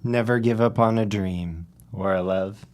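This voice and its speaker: A man's voice: lowish voice, fairly even intonation, measured pace, darkish tone, faint music behind, close to an everyday voice. A man playing a snide small-town gossip who keeps a tea shop in a period audio drama, with a dry, arch manner.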